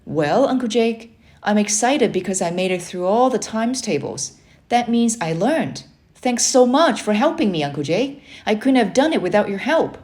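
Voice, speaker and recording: An earnest young boy from a children's storybook, voiced by a woman. He is excitable and easily flustered, questioning things in a raised pitch. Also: normal speed, close to an everyday voice. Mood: grateful, excited. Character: eager, earnest schoolboy nephew, easily flustered. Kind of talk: children's storybook character reading.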